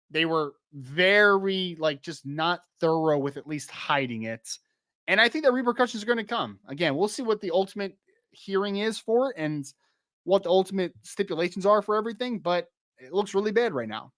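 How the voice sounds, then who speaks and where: A man's voice: sits high, fairly bright tone, no room sound, clean studio sound. A young man hosting a podcast in casual chat, rambling and laughing easily, with a slightly worn voice. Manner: chatty, rambling, laughs easily, many restarts and "like". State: losing his voice a little. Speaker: podcast host. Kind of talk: casual live-stream podcast chat with chat readers.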